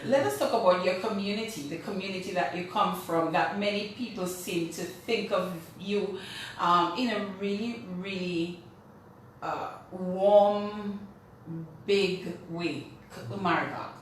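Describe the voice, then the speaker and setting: A woman's voice: medium pitch, measured pace. A woman, hosting a radio interview in a conversational style. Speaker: radio show host. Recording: echoey room, distant mic, faint noise.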